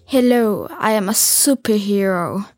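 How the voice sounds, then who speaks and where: A teenage girl, a Hungarian speaker, reading her own school text aloud slowly and carefully. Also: medium pitch, darkish tone, no room sound, clean studio sound.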